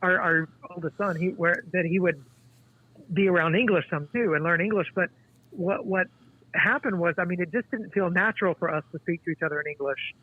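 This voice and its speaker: A man in his forties, a guest author, speaking in a halting, thoughtful way with many restarts. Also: sits high, no echo. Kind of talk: casual interview conversation. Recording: tinny dry phone line.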